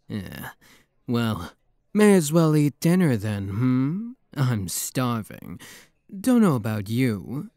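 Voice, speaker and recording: A man's voice: medium pitch, big pitch swings, drawn-out words, mellow, no echo, clean studio sound, heavily acted. A man playing a playful, flirty demon prince with a teasing, theatrical manner in an intimate ASMR roleplay. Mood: amused, disbelieving.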